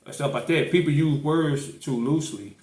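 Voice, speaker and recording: A man's voice: on the high side, moderate pace, a little mellow. A man with a St. Louis accent hosting a podcast, pressing his point in a casual debate and repeating himself. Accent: St. Louis, Missouri. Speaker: podcast host. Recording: echoey room.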